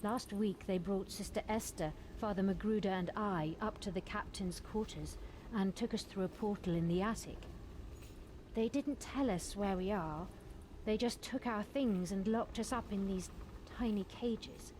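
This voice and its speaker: A woman playing a gentle, grateful young nun rescued from captivity in a fantasy video game, speaking with earnest politeness. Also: mid-range voice, measured pace, slight background noise, natural voice, low-quality recording. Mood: sombre, earnest. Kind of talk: scripted fantasy game acting.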